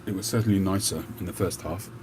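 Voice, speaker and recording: A man with a Cockney-tinged London accent, chatting slowly and calmly on a livestream with many pauses and fillers. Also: sits low, very noisy. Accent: London, Cockney with a North London mix. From England. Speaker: livestream host. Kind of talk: relaxed live chat.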